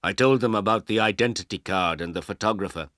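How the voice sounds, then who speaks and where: Low-voiced man narrating a simple story. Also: quick pace, a bit bright, no room sound, studio quality. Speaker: audiobook narrator. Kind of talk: scripted narration of a simplified story for English learners.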